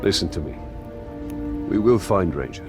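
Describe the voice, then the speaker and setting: A man's voice: deep voice, expressive, normal speed, music in the background. An actor playing a resolute, grim male warrior captain in a video game cinematic, speaking in a terse, firm manner.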